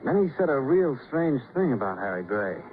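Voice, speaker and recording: An actor playing a chatty, obliging police sidekick in old radio drama, with a casual, roundabout way of talking. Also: male voice, medium pitch, big pitch swings, normal speed, natural voice. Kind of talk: 1940s-50s radio drama acting. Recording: tinny, hissy old radio broadcast.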